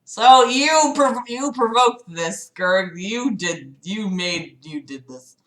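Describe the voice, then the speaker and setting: A woman's voice: medium pitch, lively intonation, slow pace, a bit bright, echoey room, low-quality recording. A woman hosting a livestream, reading a book aloud mockingly with sarcastic, exasperated asides and casual swearing. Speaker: livestream host. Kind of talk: mocking read-aloud of a book with casual commentary to live chat.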